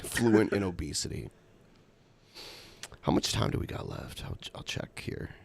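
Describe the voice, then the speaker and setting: Lively easygoing male podcast host. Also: low-pitched, unhurried, creaky. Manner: easygoing, runs the show while multitasking. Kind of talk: casual comedic chat.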